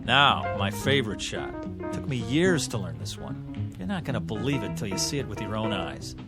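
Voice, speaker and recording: An actor with an American accent playing a proud, prickly, obsessive young pool shark in a radio drama. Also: male voice, on the low side, big pitch swings, moderate pace, over loud music. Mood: confident, defensive. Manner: boastful, intense, casual American slang.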